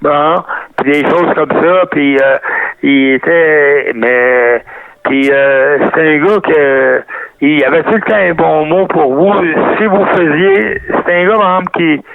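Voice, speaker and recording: Gravelly older Québécois man on a phone line. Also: a little high, no echo. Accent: Québécois French. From Canada. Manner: rambling, halting with many restarts. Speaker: guest, retired wrestler. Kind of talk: interview reminiscing. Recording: tinny phone-line sound, dry.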